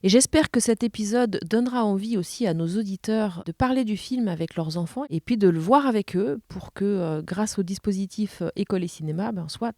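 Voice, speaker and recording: A woman podcast host explaining and presenting in a measured way. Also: mid-range voice, a little mellow, no room sound, studio quality.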